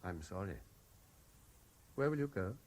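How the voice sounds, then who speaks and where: A man's voice: sits low, animated, unhurried, background noise. An actor playing an intense, haunted Frenchman obsessed with a lost love, insistent and brooding, in 1970s radio drama style. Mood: pleading, intense.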